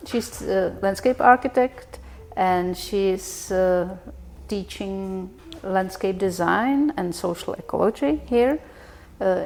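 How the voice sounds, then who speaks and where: A woman with a slightly low voice hosts a podcast interview. She talks in a chatty, conversational way and encourages her guest with follow-up questions. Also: vocal fry, a little mellow. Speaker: podcast host.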